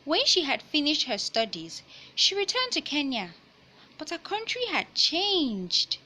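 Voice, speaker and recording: A woman reading a children's picture book aloud in a deliberate, teacherly way, pausing to explain and repeat key points. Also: high voice, huge pitch swings, bright, forceful tone, poor audio. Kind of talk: read-aloud storytelling with explanatory asides.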